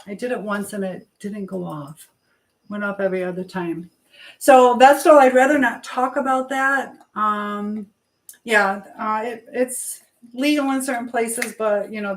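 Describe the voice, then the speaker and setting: A woman hosting a casual live chat, rambling as she reads out and answers viewer comments. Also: medium pitch. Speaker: livestream host. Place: indoors at home, in an echoey room. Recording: echoey room.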